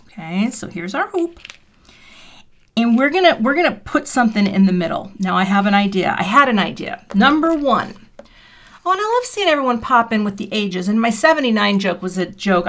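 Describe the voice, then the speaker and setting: A woman in her fifties talks casually while making a card on a livestream. She is chatty and self-deprecating, and she drops into quiet, half-whispered mutters as she works. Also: medium pitch, creaky. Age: 50s. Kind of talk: casual crafting livestream chat. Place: home craft room.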